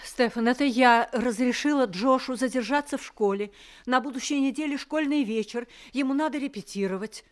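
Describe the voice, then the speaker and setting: Woman playing a pained gentle mother. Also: high voice, a little fast, close to an everyday voice. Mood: pleading. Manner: pleading, reproachful. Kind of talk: 1960s Soviet radio drama acting.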